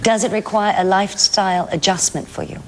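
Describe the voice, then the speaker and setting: A woman's voice: medium pitch, fairly even intonation, fairly quick. A woman hosting a television interview, asking questions in a polite, inquisitive and conversational way. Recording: clear background ambient noise.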